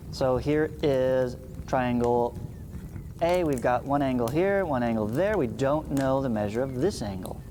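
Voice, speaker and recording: A man in his thirties teaching a math lesson, reading aloud and adding informal explanations with little check-ins. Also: medium pitch. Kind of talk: read-along geometry lesson with explanatory asides. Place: small indoor room, seated before a green screen. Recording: clear steady background noise.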